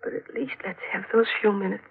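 Pleading young wife, husky, vintage radio. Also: female voice, mid-range voice, level tone, fairly quick. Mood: soothing. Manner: pleading, soothing. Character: pleading young newlywed wife, soothing and hopeful. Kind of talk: 1940s radio drama acting. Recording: narrow-band muffled old radio recording.

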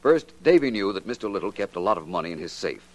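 An American actor playing a suave, confident gentleman detective in 1940s radio drama, polished and precise. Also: sits low, very animated, quick pace. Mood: smooth explaining.

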